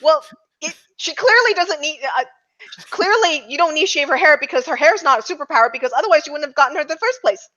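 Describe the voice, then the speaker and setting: A woman's voice: high voice, animated, fast talker, sharp tone. A woman hosting a casual podcast discussion. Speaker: podcast host. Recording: dull lo-fi audio.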